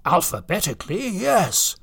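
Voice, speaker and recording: Storybook Santa voiced by a man: mild peacemaker, polite, swooping pitch, slightly husky. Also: wildly swooping pitch, unhurried, a bit bright, exaggerated voice. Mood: puzzled. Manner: polite, placating. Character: mild, patient Father Christmas, a reasonable peacemaker.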